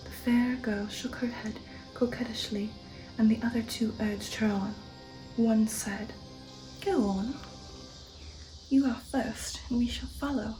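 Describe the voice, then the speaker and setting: A woman reading a literary story in a hushed, breathy ASMR whisper, slow and deliberate. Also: on the high side, rough recording. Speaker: audiobook-style reader and ASMR host. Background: faint background music.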